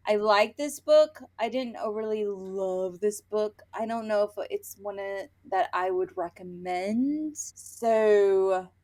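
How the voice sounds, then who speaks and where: A woman's voice: medium pitch, takes it slowly, hardly any pauses, darkish tone. A woman co-hosting a casual book review podcast, talking candidly and animatedly as she retells her own reactions. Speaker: podcast co-host.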